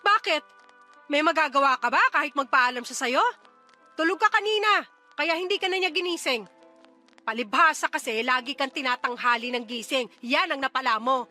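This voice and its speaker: A woman's voice: high-pitched, lively intonation, normal speed, bright, forceful tone, faint music behind. A woman playing a bitter, exhausted mother who scolds and nags sarcastically in a radio-style drama. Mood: angry, exasperated.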